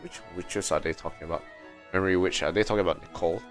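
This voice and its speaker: A man's voice: low-pitched, quick pace, soft background music. A man livestreaming a video game, musing and thinking aloud in casual commentary.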